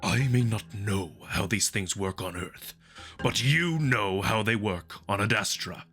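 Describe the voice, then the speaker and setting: A man voicing a proud, earnest wolf alien in a visual novel drama, formal and emphatic, quick to defend himself. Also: lowish voice, a bit bright, faint music behind, voice pushed down. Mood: hurt, restrained.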